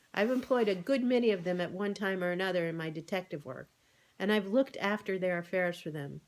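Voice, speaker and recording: A woman's voice: mid-range voice, close to an everyday voice. A woman voicing an earnest, worried male pig who reasons persuasively in an animal storybook.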